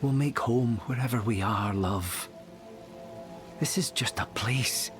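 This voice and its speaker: A man playing an anxious, caring villager husband in a fantasy video game, gentle but firm in manner. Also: medium pitch, slow pace, over music, natural voice. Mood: urgent, reassuring. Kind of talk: dramatic video game dialogue.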